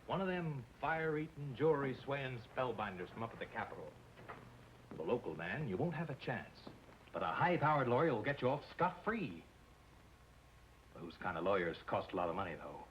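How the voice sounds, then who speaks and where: A man's voice: mid-range voice, sing-song, brisk, fairly bright tone, muffled recording. A slick frontier schemer speaks in a mid-range male voice. He sounds folksy and falsely sympathetic, insinuating his way along over a faintly noisy old TV soundtrack. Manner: folksy, insinuating, mock-apologetic. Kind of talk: 1950s television western acting.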